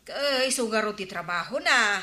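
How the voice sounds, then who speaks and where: A woman's voice: a little high, animated, normal speed, pushed and bright. An actress with an Ilocano accent playing an anxious, nagging village woman in a radio comedy-drama. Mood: indignant, worried. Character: anxious, nagging woman worried about the captain's temper. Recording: roomy echo, at times distant.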